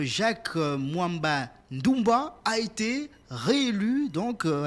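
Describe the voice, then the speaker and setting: Male radio sports presenter, Congolese accent, voice set a little high, news bulletin delivery.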